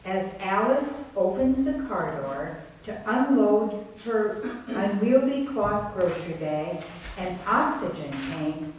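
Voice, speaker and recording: A woman's voice: mid-range voice, big pitch swings, slow. A woman narrator reading scripted dramatic narration for a readers theater piece. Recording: very echoey room, distant mic, faint noise.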